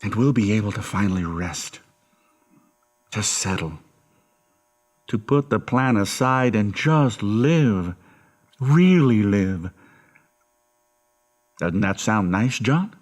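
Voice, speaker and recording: Man as a very slow-talking, coaxing father. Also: lowish voice, lively intonation, darkish tone. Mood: soothing, coaxing. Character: tender, quietly manipulative middle-aged father. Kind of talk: horror radio drama acting.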